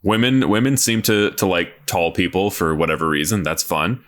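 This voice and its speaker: A deep-voiced man in casual comedic podcast chat, low and steady. He brags in deadpan and swears freely. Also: normal speed. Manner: deadpan boastful with crude jokes. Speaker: podcast co-host.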